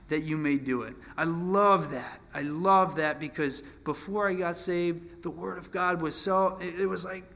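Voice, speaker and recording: Man, twenties, higher-than-average pitch, informal preacher, Bible teaching, conversational, self-deprecating, halting restarts. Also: a bit bright. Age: 20s. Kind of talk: informal Bible teaching sermon with scripture reading.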